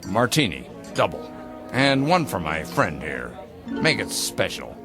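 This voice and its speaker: An actor playing a jovial, pompous boss cutting loose over drinks, with an expansive, playfully grandiose theatrical delivery. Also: male voice, deep voice, sing-song, takes it slowly, over music, full character voice. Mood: breezy, coaxing. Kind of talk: theatrical radio drama acting.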